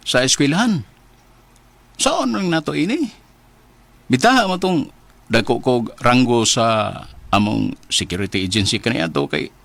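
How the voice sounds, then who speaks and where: Despairing Cebuano husband, swinging pitch. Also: male voice, gravelly, fairly bright tone, exaggerated voice. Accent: Filipino, Cebuano. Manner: weary, sighing, anguished. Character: despairing jobless husband losing his faith. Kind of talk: radio drama acting.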